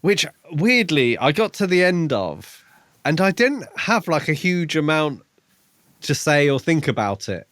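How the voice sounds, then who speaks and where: A man co-hosting a podcast in casual book chat, phrasing his thoughts hesitantly with pauses. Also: fairly high pitch, sing-song, a bit bright, no room sound. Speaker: podcast co-host.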